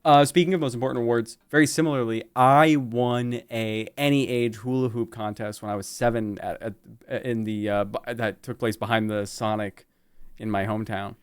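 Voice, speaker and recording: A man's voice: mid-range voice, steady tone, normal speed, clear, resonant tone, dry recording. A man co-hosting in casual, teasing banter, rambling and often interrupting himself. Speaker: show co-host.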